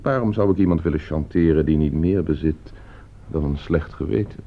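An actor playing a calm, calculating schoolteacher in a radio play, speaking with polite, insinuating control. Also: male voice, a bass voice, moderate pace. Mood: sharp, scornful. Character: calm, calculating male schoolteacher, polite but menacing manipulator. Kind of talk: Dutch radio play acting. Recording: muffled old radio play recording.

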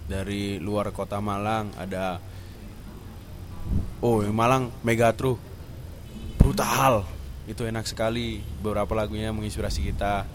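A man's voice: on the low side, fairly even intonation, a little slow, long pauses. A man, a band musician, talking casually as an interview guest. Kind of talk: casual chat. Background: music playing.

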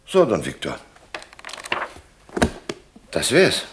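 A male actor in a German radio play playing a gruff, worn-out merchant who grumbles and justifies himself. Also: fairly low pitch, wildly swooping pitch, extremely slow, over music, heavily acted. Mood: businesslike. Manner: exasperated, self-justifying.

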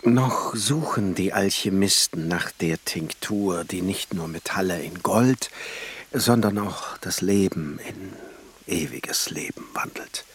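An actor playing a brooding, philosophical court alchemist in a radio drama, with a husky, breathy voice and formal old-fashioned phrasing. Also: male voice, deep voice, slow pace, a little hiss or hum. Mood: earnest.

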